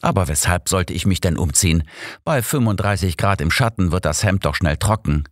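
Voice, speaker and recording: A man's voice: deep voice, expressive, a little fast, dry recording, studio quality. A man voicing a cranky, pedantic, self-assured elderly detective for an audiobook, grumbling and lecturing. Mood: dismissive.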